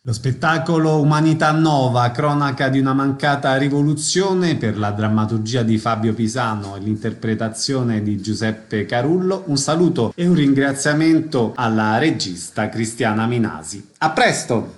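Medium-pitched male radio host. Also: animated, moderate pace, hardly any pauses. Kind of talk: radio presenting.

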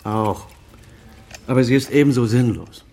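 A man's voice: medium pitch, moderate pace, mellow, slight background noise, close to an everyday voice. An actor playing a proud senior doctor and estranged father, over fifty, speaking formal, articulate in a radio drama. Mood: firm, dismissive. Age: middle-aged or older, over fifty. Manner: formal, articulate, self-justifying. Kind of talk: German radio drama dialogue.

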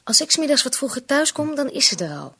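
An actress playing a worried, insistent young bride-to-be pleading as a daughter in a radio play. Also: female voice, sits high, sing-song, fast, fairly bright tone, full character voice. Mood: insistent, upset. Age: young adult.

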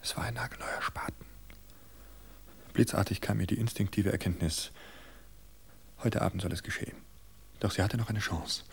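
Gravelly low-voiced man narrating suspense. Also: steady tone, in a whisper. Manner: measured, suspenseful reading. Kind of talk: scripted story narration.

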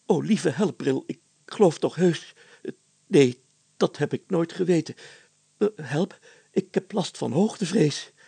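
Man voicing a stammering, gravelly, anxious village mayor. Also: fairly high pitch, animated, unhurried, mellow, no room sound, pitched above the performer's own voice, full character voice. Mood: panicked. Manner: stammering, hesitant, breathless. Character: anxious, blustering male village mayor, easily panicked.

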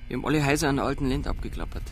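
Fast-talking Bavarian detective, played by a man. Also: medium pitch, faint music behind, natural voice. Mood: matter-of-fact. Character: chatty male Bavarian police investigator. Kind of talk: naturalistic radio drama acting.

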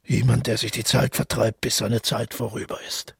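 An actor playing a brooding, isolated man haunted by dreams and guilt, in a measured, introspective radio drama monologue with a gravelly, husky voice. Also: medium pitch, level tone, fairly bright tone, dry recording, studio quality, heavily acted. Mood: brooding, reflective.

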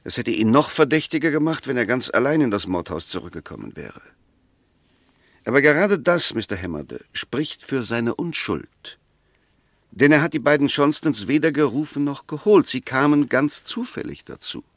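An actor playing an insistent, reasoning defense barrister in a 1960s German radio play, arguing rhetorically and emphatically. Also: male voice, medium pitch, sing-song, moderate pace, no echo, studio quality. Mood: emphatic, insistent.

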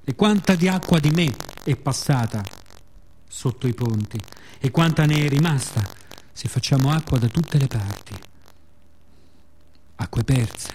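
Mellow-voiced man, reflective theatrical storytelling. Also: mid-range voice, lively intonation, unhurried, pauses often, slight background noise. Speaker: storyteller, solo theatre monologist. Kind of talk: scripted radio theatre monologue.

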